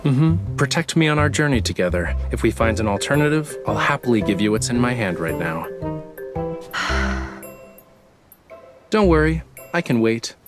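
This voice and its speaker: An actor playing a teasing, smug young male painter in a video game, with a languid, coy manner. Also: lowish voice. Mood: smug, playful.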